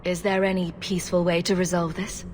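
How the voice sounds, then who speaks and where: An actress playing a diplomatic, composed adventurer heroine in a video game, speaking directly and steadily. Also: female voice, lowish voice, level tone, brisk, a little mellow, lightly acted. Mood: calm, supportive. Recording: reverberant hall, faint noise.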